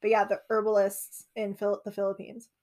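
A woman's voice: mid-range voice, completely flat delivery, moderate pace, a little mellow. A young woman co-hosting a casual conversation, talking freely with frequent "like" fillers. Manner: chatty, lots of "like" fillers. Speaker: podcast co-host.

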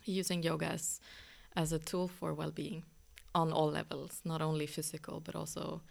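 A woman from northern Sweden, a yoga teacher speaking as a podcast guest, talking reflectively with many pauses and ums. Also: deep voice, level tone, gravelly. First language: Swedish. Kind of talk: reflective casual conversation.

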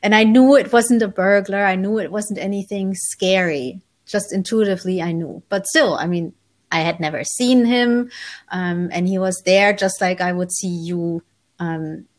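A woman in her forties, raised in Germany, telling her story in an interview as a spiritual author, relaxed and thoughtful with frequent pauses. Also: medium pitch. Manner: relaxed, thoughtful, many ums, light laughs.

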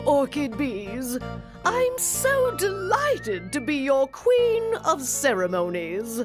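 A woman's voice: sits high, heavily acted. A woman voicing a gracious, delighted queen bee hosting a party in a children's storybook recording, theatrical and sing-song. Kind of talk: children's storybook audio performance.

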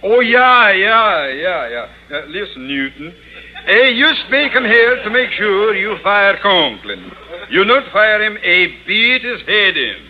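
Blustering oaf, wildly swooping pitch. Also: male voice, sing-song, takes it slowly, heavily acted. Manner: broken English, blustering. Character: belligerent brawny foreign oaf in alpine costume. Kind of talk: 1950s radio comedy acting. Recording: very noisy muffled old radio recording.

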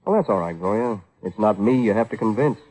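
An actor playing a wry, hard-boiled private detective who asks dry, clipped questions in an old radio drama. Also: male voice, fairly low pitch, animated, fast, lightly acted, low-quality recording. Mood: dry, skeptical. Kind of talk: 1950s radio detective acting.

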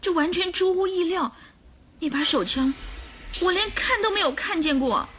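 A woman's voice: extremely high pitch, expressive, moderate pace, a bit bright, a little hiss or hum. A woman playing an earnest, distressed young governess under suspicion in a radio drama. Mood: earnest denial.

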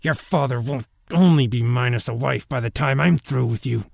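A man's voice: mid-range voice, moderate pace, vocal fry, darkish tone, no room sound, pitched above the performer's own voice. A man performs a spiteful, cowardly horse owner for an audio storybook. The voice is pushed up from the reader's own and sputters and snarls, in a dry, close recording. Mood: furious, snarling.

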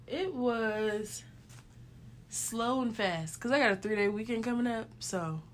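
A woman's voice: on the high side, unhurried. A woman in her late twenties chatting casually with a friend on a podcast. She laughs often and slips into little acted-out quotes as she tells her stories. Faint noise sits behind her voice. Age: 20s. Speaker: podcast co-host. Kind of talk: casual chat.

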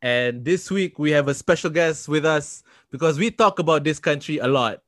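A man's voice: a little high, normal speed, fairly bright tone, no echo, studio quality. A young Singaporean man hosting a podcast in casual, joking chat, laughing often. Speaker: podcast host.

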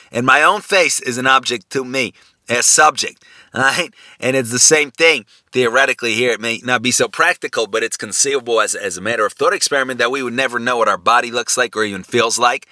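A man's voice: medium pitch, brisk, sharp tone, no echo. A man giving an unscripted philosophical lecture, thinking aloud with many fillers and restarts and asking rhetorical questions. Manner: poses rhetorical questions, asks "right?".

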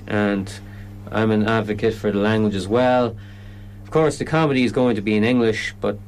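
A man's voice: lowish voice, a little hiss or hum, low-quality recording. An Irish man explaining his comedy event in a radio interview, often stopping and restarting sentences. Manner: hesitant, lots of restarts.

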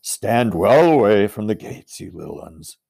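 Man putting on a huge bumbling giant, Rumblebuffin. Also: very animated, a little slow, darkish tone, heavily acted. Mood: courteous. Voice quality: gruff, rustic dialect.

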